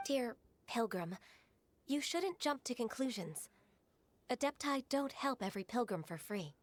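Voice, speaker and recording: A woman voicing a gentle, earnest half-immortal maiden in an anime video game, speaking in a soft, polite, formal and hesitant way. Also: on the high side, big pitch swings, a little slow, slight background noise. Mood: gently admonishing.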